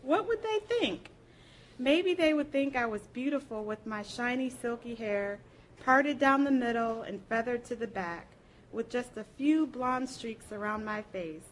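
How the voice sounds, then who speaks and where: A woman reads a first-person piece aloud at a live event. Her voice sits fairly high and her delivery is expressive and theatrical. There is faint noise in the recording. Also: unhurried, rough recording. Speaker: reader at a library program. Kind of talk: dramatic first-person literary reading.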